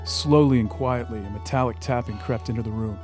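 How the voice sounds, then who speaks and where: A man playing the measured storyteller of an eerie audio drama, reading scripted narration. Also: mid-range voice, music in the background, lightly acted.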